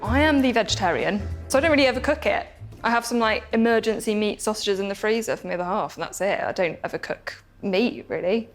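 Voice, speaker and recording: A woman's voice: medium pitch, fast. A British woman chatting while she cooks, giggly and self-deprecating. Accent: British English. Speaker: contestant cooking. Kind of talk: casual chat while cooking. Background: music.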